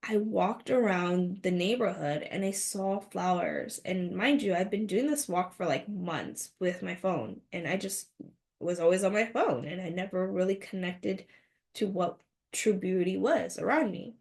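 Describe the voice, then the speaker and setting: Earnest woman podcaster with a gravelly edge. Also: lowish voice, a little mellow. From United States. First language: Mandarin. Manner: earnest, inspirational, rhetorical questions. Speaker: podcast host. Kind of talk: motivational podcast monologue.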